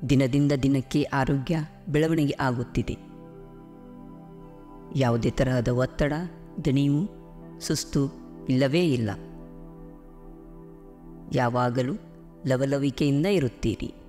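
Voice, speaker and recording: Man, meditation guide, mid-pitched voice, soothing and unhurried, long pauses, music playing behind. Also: dark tone. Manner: slow, soothing, deliberate pauses. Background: clear background music.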